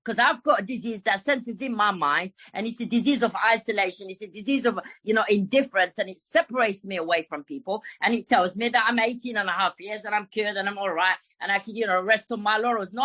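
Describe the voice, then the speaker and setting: A woman's voice: medium pitch, bright, forceful tone, no room sound. Frank, emphatic woman from Morocco. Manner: frank, emphatic, swears freely. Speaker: recovery meeting speaker. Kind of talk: personal recovery share.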